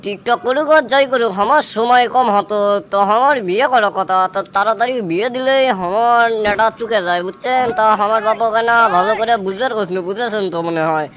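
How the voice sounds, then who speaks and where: A man's voice: high-pitched, brisk, a bit bright. A man as brash Bogra stoner bachelor, noisy recording. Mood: agitated, complaining. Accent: Bogra, northern Bangladesh. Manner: rambling, boastful, complaining. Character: brash, impatient stoner young man desperate to get married. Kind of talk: comic dialect cartoon voice acting.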